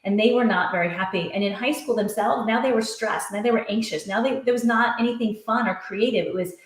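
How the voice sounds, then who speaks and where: A woman's voice: a little high, a little fast, echoey room. A middle-aged woman, an author and education coach, talks earnestly in long flowing sentences during a podcast interview.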